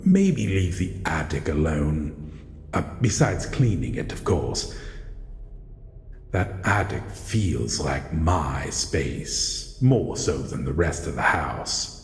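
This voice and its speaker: Guy doing a lonely shadow monster for audio rp, really slow n polite, guarded, echoey with a bit of noise. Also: very deep voice, darkish tone, heavily acted. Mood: hesitant, protective. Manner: slow, formal, measured, calls listener human. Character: solitary male shadow monster, guarded but courteous, lonely. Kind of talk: scripted audio roleplay addressed to the listener. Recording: echoey, long reverb, faint noise.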